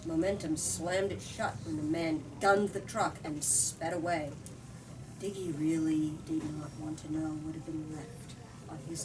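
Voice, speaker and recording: Female storyteller, dramatic and emphatic host reading excerpts; distant pickup, clear room noise. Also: on the low side. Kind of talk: expressive storybook read-aloud with discussion. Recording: distant mic, noisy room ambience.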